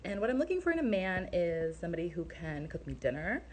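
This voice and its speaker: Easygoing woman with a lowish voice. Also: very animated, fast talker, a little mellow. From Russia. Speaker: contestant on a dating show. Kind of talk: casual chat. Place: home in a city. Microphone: video call.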